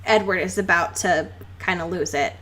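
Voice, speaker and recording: A woman's voice: lowish voice, moderate pace, fairly bright tone. A young adult woman co-hosting a podcast, chatting informally and recapping a story with plenty of swearing and filler "like"s. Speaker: podcast co-host. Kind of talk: casual chat, recapping a novel.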